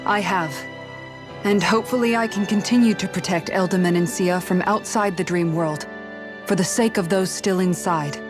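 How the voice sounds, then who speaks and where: An actress playing an earnest, resolute young woman guard in a video game cutscene, speaking in a measured, formal, sincere way. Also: fairly low pitch, over music, natural voice. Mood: solemn, resolved.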